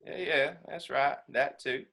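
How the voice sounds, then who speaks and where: A man's voice: mid-range voice, steady tone, measured pace, vocal fry, bright, forceful tone, full character voice. An actor playing a combative military man, scornful and folksy, in a scripted dialogue. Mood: earnest. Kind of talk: scripted stage-style dialogue.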